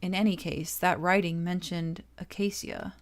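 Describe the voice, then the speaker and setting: A woman voicing a composed, scholarly companion in a video game, explaining things in a measured, formal way. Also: lowish voice, little pitch movement, voice pushed down, lightly acted. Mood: calm, grave.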